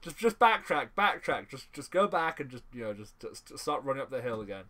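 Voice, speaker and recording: A man hosting a podcast in a casual chatty style, speaking haltingly and often repeating his phrases. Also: mid-range voice, sing-song, pushed and bright, slight background noise. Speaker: podcast host. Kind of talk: casual chat.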